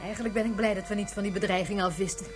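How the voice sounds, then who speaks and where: A woman's voice: medium pitch, fairly even intonation, racing, soft background music, natural voice. A woman playing an encouraging, motherly companion in a radio drama. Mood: relieved.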